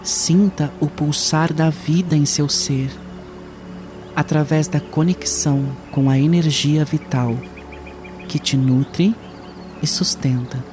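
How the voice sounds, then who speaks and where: A man leading a guided meditation in a soothing, unhurried way with long pauses. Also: on the high side, fairly even intonation, music in the background.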